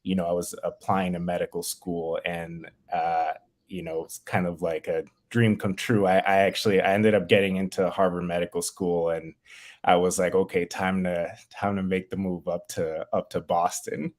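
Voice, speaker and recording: A man's voice: low-pitched, level tone, moderate pace. A man in his thirties from New York City, a physician talking about his career in a friendly, eager way, often repeating words as he restarts. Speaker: infectious disease physician, guest. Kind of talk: casual interview.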